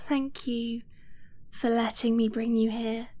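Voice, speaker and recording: A woman playing an affectionate, nervous dragon-blooded girlfriend in a romantic ASMR roleplay, soft and breathy, often slipping toward a whisper with gentle hesitation. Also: a little high, little pitch movement. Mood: tender, playful. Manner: slow, gentle, hesitant, intimate.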